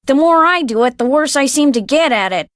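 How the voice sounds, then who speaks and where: High, animated slangy 1930s gal. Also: female voice, fairly quick, no room sound, clean studio sound. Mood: brisk, friendly. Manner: folksy, slangy, talkative. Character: brassy, slangy, good-hearted 1930s American woman. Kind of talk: scripted video game dialogue acting.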